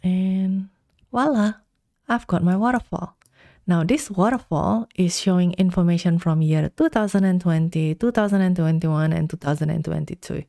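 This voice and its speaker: A woman talks through a software tutorial in calm, step-by-step instructions. Her voice is mellow and low, unhurried, recorded dry and close. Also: vocal fry, no echo. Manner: calm step-by-step instructions, slow deliberate pacing.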